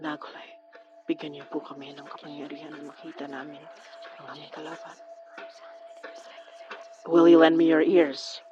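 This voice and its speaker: A woman's voice: low-pitched, level tone, normal speed, pauses often, highs cut off, music in the background, close to an everyday voice. A woman playing a blunt, determined young summoner in an audio horror drama, speaking directly and intensely. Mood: whispered incantation, then solemn.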